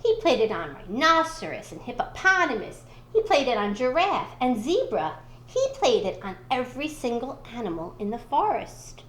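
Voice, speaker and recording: A woman reading a children's storybook aloud in expressive narration. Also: on the high side, moderate pace, reverberant, a little hiss or hum. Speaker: school storybook reader.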